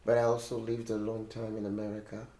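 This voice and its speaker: A French-born man, an interview guest, talking casually and a bit haltingly about his life. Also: on the low side, completely flat delivery, warm tone. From France. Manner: hesitant, playful, mimics voices for effect. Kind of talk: casual conversation. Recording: echoey room.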